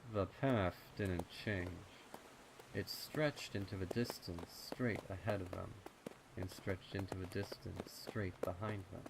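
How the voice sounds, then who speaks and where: A man narrating a dark story in a measured, deliberate, scripted style. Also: low voice, noisy background. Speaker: fan fiction narrator. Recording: clear night-insect ambience behind. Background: crickets and night insects.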